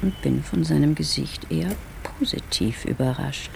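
An actor playing a self-absorbed, fastidious traveller in a radio drama monologue, coolly sizing people up. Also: male voice, fairly high pitch, vocal fry, noisy background. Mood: appraising.

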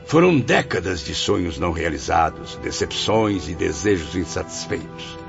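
An actor with a Brazilian accent playing a proud, embittered blind writer's spirit in a radio soap, declaiming theatrically. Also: male voice, deep voice, lively intonation, normal speed, vocal fry. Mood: bitter, remorseful. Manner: theatrical, declamatory, grandiose, with swelling and sinking emphasis. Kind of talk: radio soap opera acting. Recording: dull lo-fi audio, music behind.

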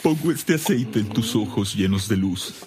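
A man's voice: lowish voice, lively intonation, moderate pace, noisy background, natural voice. A man acting a solemn, grieving priest in a radio drama, with a ceremonial delivery. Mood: solemn, tender. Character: solemn grieving priest at his dying brother's bedside.

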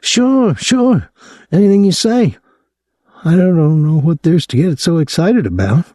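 A man's voice: measured pace, no echo, studio quality. A man voicing a whiny, cheeky teenage boy in an audiobook reading. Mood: aggrieved. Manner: whining, high and excited.

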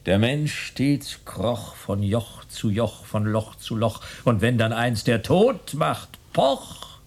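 An actor in a German radio play, playing a once-stuttering comedy figure who now calls himself a philosopher and the angel of death, speaking in theatrical declaiming rhymes. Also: male voice, medium pitch, sing-song, takes it slowly, husky, heavily acted. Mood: menacing. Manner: theatrical, declaims rhymes, switches languages in farewells. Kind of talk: 1990s radio play acting.

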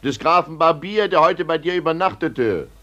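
A man's voice: fairly high pitch, animated, moderate pace, fairly bright tone, lo-fi sound. An actor playing a blunt, worried coachman to a count in a 1950s radio play. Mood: insistent.

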